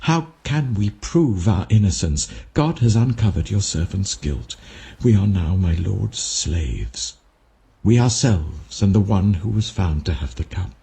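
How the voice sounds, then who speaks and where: A man's voice: sits low, animated, a little slow, vocal fry, mellow, heavily acted. A man voicing an indignant servant who emphatically protests his innocence in a dramatic scripture reading. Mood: dismayed.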